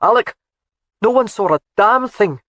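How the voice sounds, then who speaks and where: A woman voicing an eager, loyal young soldier in an audiobook who blurts things out loudly. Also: medium pitch, sing-song, moderate pace, no room sound, voice pushed up.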